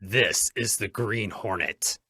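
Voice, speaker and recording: A man's voice: on the low side, slow, pushed and bright, slightly rough, full character voice. A man acting a stern, commanding masked vigilante in an old-time radio drama, speaking in firm, menacing declarations. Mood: cool menace. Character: masked male crime-fighting vigilante, stern, commanding, resolute.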